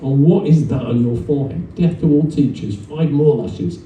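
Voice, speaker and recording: Guy putting on a pompous strict male teacher telling kids off, storybook style, soft dark tone, far off mic. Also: medium pitch, moderate pace, pitched above the performer's own voice, close to an everyday voice. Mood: indignant. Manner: barking, pompous scolding. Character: indignant strict male schoolteacher in a children's story. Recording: roomy distant event recording, faint noise.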